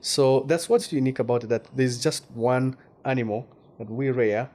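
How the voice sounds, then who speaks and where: A man's voice: mid-range voice, steady tone, normal speed, a little mellow. A man from Western Uganda with a Ugandan accent, speaking as a guest journalist in a casual interview and often repeating words while he searches for them.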